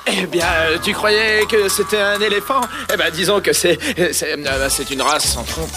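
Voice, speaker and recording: A man's voice: high voice, normal speed, natural voice. A man playing a wisecracking, sceptical teenage boy in a French cartoon dub, with a teasing way of talking. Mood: flustered. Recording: music behind the voice.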